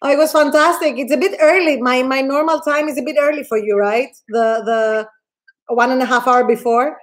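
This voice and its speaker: Lady hosting a live video chat, kinda high voice, gushing, keeps restarting. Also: brisk. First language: Greek. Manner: effusive, enthusiastic, with frequent restarts. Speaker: host of a live online interview. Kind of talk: casual livestream interview.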